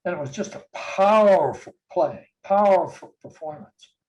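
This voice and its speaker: An older man tells family stories in an unhurried, tender way, pausing and chuckling softly as he remembers. His voice sits a little high for a man. He speaks over a video call. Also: animated, muffled recording. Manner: slow, gentle, warm storytelling with soft chuckles. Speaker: guest sharing memories. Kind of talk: casual reminiscing.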